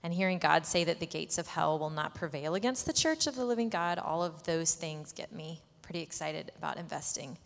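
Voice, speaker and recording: Woman giving a testimony at church, low voice, thoughtful, lots of um, big echoey room. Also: expressive, brisk, creaky, few pauses. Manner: earnest, reflective, with frequent fillers. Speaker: church member sharing a reflection. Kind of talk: earnest church testimony with scripture reading. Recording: echoey hall.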